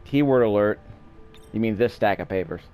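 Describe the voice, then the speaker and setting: A man's voice: on the low side, moderate pace, mellow, soft background music. A man giving casual, offhand game commentary, wryly thinking aloud as he plays. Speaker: let's play commentator.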